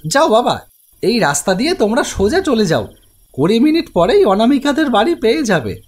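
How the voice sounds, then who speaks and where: An elderly tea-stall keeper with a cartoon's strained, raised pitch, as a man puts him on. He sounds earnest and fatherly, warning the young travellers with lively rises and falls. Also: normal speed, exaggerated voice. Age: older. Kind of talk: animated ghost story voice acting.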